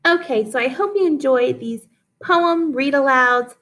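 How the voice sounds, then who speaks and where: A woman's voice: high-pitched, unhurried, fairly bright tone. A woman teacher leading a read-aloud lesson for children, explaining and guiding listeners in an instructive way. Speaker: teacher reading poems aloud for pupils.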